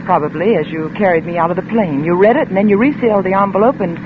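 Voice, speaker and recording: An actress playing a sardonic, suspicious insurance investigator in a vintage radio drama, with dry, clipped delivery. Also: female voice, fairly low pitch, fast talker, lightly acted. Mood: accusing, controlled. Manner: dry, wry, clipped retorts. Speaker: radio actor and first-person narrator. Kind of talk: 1940s-50s radio drama acting. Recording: noisy, tinny old radio recording.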